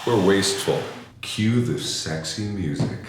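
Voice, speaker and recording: A man in his twenties hosting a joking vlog, hoarse and gravelly from being sick, talking loosely with loud bursts. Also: sits low, lively intonation, unhurried, over loud music. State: hoarse from illness. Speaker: YouTube host. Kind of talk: casual comedic vlog. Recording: echoey room.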